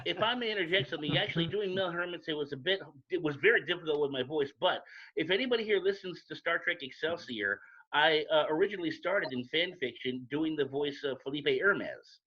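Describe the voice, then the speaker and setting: A man's voice: a little high, expressive, brisk. A man, a voice actor, chatting on a panel in an articulate, explanatory manner. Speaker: volunteer audio drama voice actor. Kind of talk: casual panel chat. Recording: muffled lo-fi livestream call.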